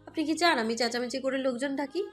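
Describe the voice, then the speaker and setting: A woman acts out a hurt young mother narrating a romantic audio drama in the first person. Her voice is very high, with a dramatic, scolding edge and a defiant temper. Music runs underneath. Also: quick pace, close to an everyday voice. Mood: warning. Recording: music bed behind the voice.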